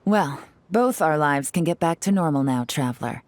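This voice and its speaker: A woman's voice: low-pitched, expressive, moderate pace, a little mellow. An actress voicing a composed, lightly teasing woman agent in a game cutscene. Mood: relaxed. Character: poised cool woman agent on vacation.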